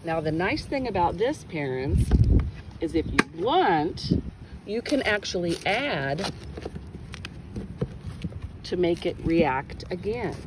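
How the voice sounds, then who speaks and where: Female early-years teacher, unhurried and very animated, exclaims and prompts children with questions; windy, noisy outdoor recording. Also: lowish voice. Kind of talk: hands-on demonstration for children and parents. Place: outdoors in wind and sun.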